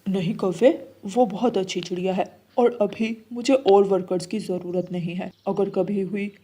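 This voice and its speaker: A woman voicing an earnest adult male bird in a jungle cartoon, speaking in a firm, measured way. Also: mid-range voice, fairly even intonation, warm tone, husky. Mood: firm, persuasive.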